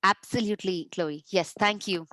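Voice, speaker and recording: A woman's voice: medium pitch, moderate pace, sharp tone, dry recording. A woman hosting a webinar who introduces the next speaker hesitantly, with many fillers. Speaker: webinar host.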